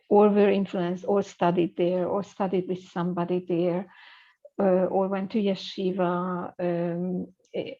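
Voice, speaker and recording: Scholarly woman guest, low and fry-edged, hesitant conversational delivery, muffled recording. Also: mellow. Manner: hesitant, many fillers and restarts. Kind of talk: conversational interview.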